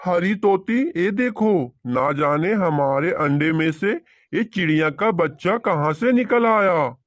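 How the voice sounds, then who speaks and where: Guy voicing a bossy excitable crow husband for a kids cartoon, kinda high voice, dry sound. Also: slow, no echo. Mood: baffled. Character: excitable, stern male crow husband in a moral tale. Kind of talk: Hindi children's cartoon dialogue.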